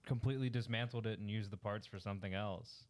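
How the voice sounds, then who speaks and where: Low-pitched man in casual banter. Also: steady tone, fast talker. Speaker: podcast co-host.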